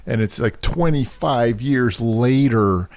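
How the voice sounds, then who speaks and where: A man hosting a casual chat who talks in a rambling, animated way and laughs easily. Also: mid-range voice, takes it slowly.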